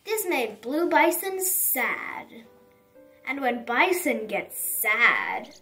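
Woman, high pitch, slow emphatic storybook narration, expressive, faint music behind. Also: recorded from a distance. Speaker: children's storybook reader. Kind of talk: dramatic read-aloud narration for young children.

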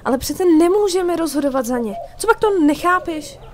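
A woman playing a gentle, distressed young sorceress in a fantasy audio drama, pleading and hesitant. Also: extremely high pitch, expressive, a little hiss or hum. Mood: anguished.

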